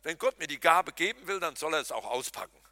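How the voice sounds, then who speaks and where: A pastor preaching a lively sermon, talking straight to his congregation and mixing in jokes. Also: on the high side, fast, vocal fry, no echo. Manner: animated, talks to the congregation directly, jokes and laughs.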